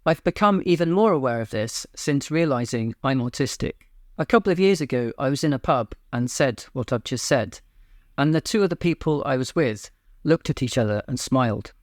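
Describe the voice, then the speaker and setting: A man hosting his own channel, speaking in a flat, deadpan monotone in a scripted personal monologue. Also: mid-range voice, normal speed, no room sound, clean studio sound. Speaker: YouTube channel host. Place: home.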